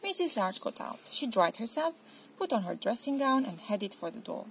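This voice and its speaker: A woman reading a children's picture book aloud, telling the story expressively and deliberately. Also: on the high side, very animated, slight background noise. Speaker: storybook reader.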